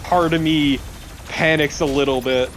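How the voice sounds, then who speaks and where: A man's voice: a little high, fairly even intonation, a little slow, fairly bright tone, a little hiss or hum. A man chatting casually as a co-commentator on a game, joking and swearing freely. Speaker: let's play co-commentator. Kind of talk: casual chat over gameplay.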